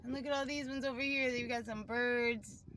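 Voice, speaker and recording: A woman's voice: on the high side, steady tone, moderate pace, fairly bright tone, slight background noise. A woman talking casually and informally, telling an improvised story in a rambling way with plenty of filler "like".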